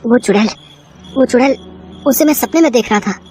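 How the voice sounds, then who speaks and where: A woman's voice: a little high, fairly quick, dark tone, slight background noise, close to an everyday voice. A woman voicing a young man in a horror story, talking to himself in short, repeated, reactive phrases. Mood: shaken, confused. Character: young man, scoffing horror fan turned frightened victim.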